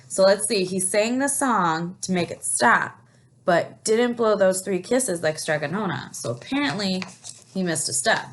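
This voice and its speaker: A woman reading a picture book aloud in an expressive storytelling style, stopping to put questions to young listeners and explain things. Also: fairly low pitch.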